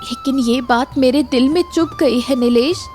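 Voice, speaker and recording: A woman's voice: sits high, moderate pace, a little mellow, faint music behind, lightly acted. A woman voicing a sincere, hopeful young village wife in a cartoon moral story, with earnest emotion. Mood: hurt.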